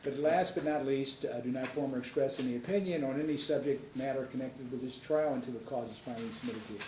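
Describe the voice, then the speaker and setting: A man serving as judge, giving the jury formal instructions in a measured way with light asides. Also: medium pitch, warm tone. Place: courtroom. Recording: distant, roomy courtroom audio with noise.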